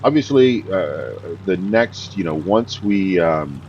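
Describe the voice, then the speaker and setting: A man hosting a farming game, talking casually in a deep morning voice, easygoing and rambling with many fillers. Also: expressive, slow pace, darkish tone, lo-fi sound, a little hiss or hum. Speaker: game host. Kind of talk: casual gameplay commentary.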